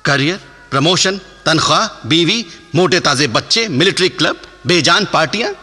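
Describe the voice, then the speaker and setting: An actor in a radio play voicing a proud, defiant young Indian soldier, declaiming with deliberate, impassioned patriotism. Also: male voice, on the high side, animated, pushed and bright, husky, heavily acted. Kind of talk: radio drama acting. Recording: echoing hall, music underneath, radio play.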